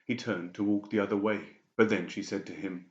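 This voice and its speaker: Casting: male audiobook narrator, very low voice, echoey and slightly muffled recording. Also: steady tone, a little fast. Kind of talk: scripted fiction narration. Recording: dull, echoey room recording.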